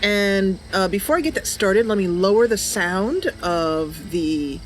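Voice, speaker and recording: A woman hosting a casual gameplay livestream, chatty and giggly, with hesitant pauses. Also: medium pitch, lively intonation, a little hiss or hum. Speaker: livestream host. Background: game music and ambient sounds.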